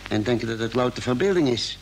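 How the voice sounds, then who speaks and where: An actor playing a cautious, calm ship's doctor in an old Dutch radio drama, speaking in a hesitant, thoughtful way. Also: male voice, mid-range voice, fast, faint music behind, natural voice. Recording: noisy vintage radio play recording.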